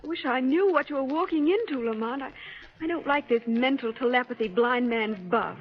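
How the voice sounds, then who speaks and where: A woman's voice: sits high, brisk, faint music behind. A woman playing a spirited, practical assistant to a sleuth in a 1930s radio drama. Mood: worried, determined. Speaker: female lead in a radio mystery serial. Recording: muffled old 1930s radio recording.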